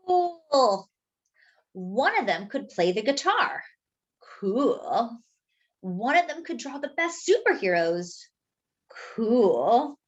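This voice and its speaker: Slow, animated woman reading aloud. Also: high-pitched, muffled recording. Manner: expressive, pausing to point out pictures. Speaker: children's storyteller. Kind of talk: picture book read-aloud.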